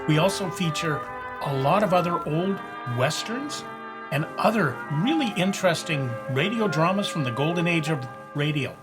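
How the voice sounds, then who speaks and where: A man with a slightly high voice delivers a scripted sign-off. He speaks as a podcast announcer. Loud music plays behind him. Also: animated, normal speed. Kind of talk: scripted outro.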